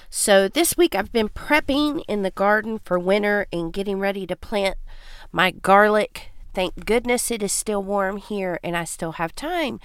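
A woman's voice: mid-range voice, clean tone, no room sound, studio quality. A woman hosting a casual homesteading podcast, congested from allergies, chatting in a folksy, friendly way. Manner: folksy, chatty, says y'all. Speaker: podcast host.

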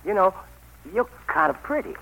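Swaggering drifter, lively pitch. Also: male voice, normal speed, exaggerated voice, low-quality recording. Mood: cocky wooing. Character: swaggering, menacing young country drifter turned suitor. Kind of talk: 1940s radio acting. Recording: vintage 1940s radio broadcast.

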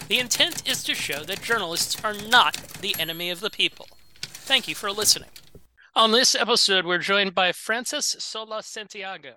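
A man's voice: high-pitched, sharp tone, slight background noise. A man hosting an interview podcast, reading a scripted opening and then asking short, friendly questions. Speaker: podcast host.

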